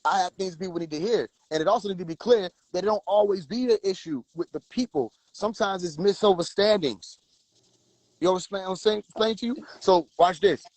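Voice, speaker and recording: A man hosting a livestream, talking forcefully and preaching directly at his viewers. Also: high voice, fairly quick, no echo, poor audio. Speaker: livestream host. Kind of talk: casual livestream talk.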